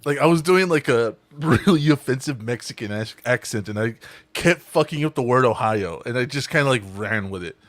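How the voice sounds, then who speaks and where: A man's voice: medium pitch, lively intonation, moderate pace, dry recording. A man hosting a casual group podcast over a video call, rambling with restarts and swearing freely. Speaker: podcast host.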